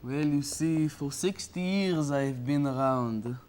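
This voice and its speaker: A man playing a sixty-year-old self-help guru, answering in a halting, rambling way full of fillers. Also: on the high side, a little mellow, a little hiss or hum. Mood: deadpan. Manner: halting, hesitant, full of uh and well you see. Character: rambling eccentric sixty-year-old male life coach. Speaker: mock guest life coach. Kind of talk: improvised comic interview.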